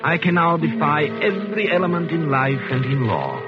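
An actor playing a vengeful, gloating wronged husband, deliberate and menacing, in 1940s radio suspense style. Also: male voice, on the high side, sing-song. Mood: triumphant. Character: vengeful, coldly triumphant wronged husband, English ex-convict. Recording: muffled 1940s radio recording with music.

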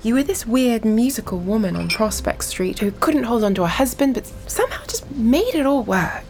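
A woman's voice: a little high, very animated, moderate pace, darkish tone, slight background noise. Chatty, kindly blunt townswoman. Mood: candid, encouraging. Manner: conversational, hesitant stammers, candid. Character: chatty, blunt but kindly town gossip woman. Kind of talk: naturalistic audio drama acting.

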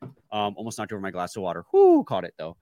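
A man's voice: fairly low pitch, huge pitch swings, quick pace, dark tone. Congested man hosting a sports podcast. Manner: joking, laid-back banter. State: stuffy, congested from a cold. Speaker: podcast co-host. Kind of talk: casual sports podcast chat.

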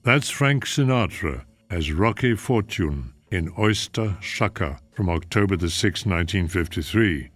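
A man's voice: sits low, animated, unhurried, hardly any pauses, a bit bright. A man hosting a radio program in a scripted presenting style. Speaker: host. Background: music.

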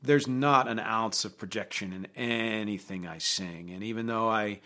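A man's voice: on the low side, normal speed, sharp tone. A man hosting a music show, talking through songs in a reflective, conversational way and putting questions to his viewers. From not from Glasgow. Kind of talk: casual music commentary to camera.

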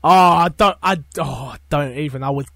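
A man's voice: fairly high pitch, moderate pace, a bit bright, no echo, studio quality. A man chatting casually about games as a podcast panelist. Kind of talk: casual gaming chat.

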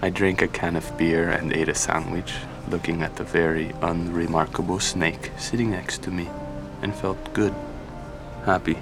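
An actor playing a wistful, reflective man in an intimate audio drama monologue, measured, halting and contemplative. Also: low voice, level tone, over music. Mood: wistful, content. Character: wistful, reflective man quietly confiding to Sarah.

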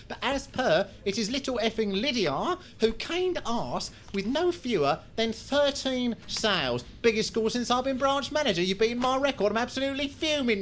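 A woman's voice: mid-range voice, a little hiss or hum, lightly acted. A woman playing a crude, jokey male estate agency boss with a British accent, blustering and teasing.

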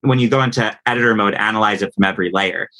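A man talking casually as a podcast guest, animated and self-deprecating. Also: on the low side, rapid-fire. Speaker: podcast guest, composer and audio producer. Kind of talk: casual interview conversation.

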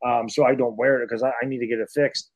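A man's voice: medium pitch, little pitch movement, fast talker. A man co-hosting a sports podcast, talking bluntly and joking like a fan. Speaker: podcast co-host. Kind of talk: casual sports podcast chat.

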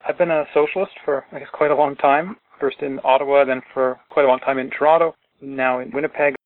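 A man's voice: medium pitch, fairly even intonation, fairly quick, no echo. Casting note: forties male organizer, analytical, phone-line audio, dry. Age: 40s. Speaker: guest, socialist organizer. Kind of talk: interview over a call.

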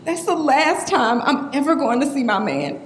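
A grieving young woman in an audio drama, her high voice swinging widely. She is tough but shaken, pleading and blunt, heard in an echoey space. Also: lively intonation, moderate pace. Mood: shaken grief. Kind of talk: full-cast audio drama acting. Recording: roomy echo, faint background noise.

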